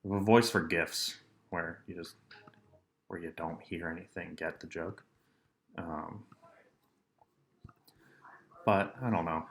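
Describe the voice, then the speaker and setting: Low-voiced man rambling on his podcast. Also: takes it slowly, long pauses. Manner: rambling, deadpan, many ums and asides. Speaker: solo podcast host. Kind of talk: rambling solo podcast chat.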